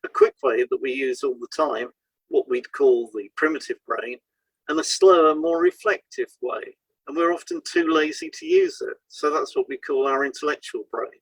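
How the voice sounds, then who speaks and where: A man co-hosting an educational podcast, reading scripted explanations in a measured, explanatory way. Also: medium pitch, sing-song, slightly rough, low-quality recording. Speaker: podcast co-host and hypnotherapist.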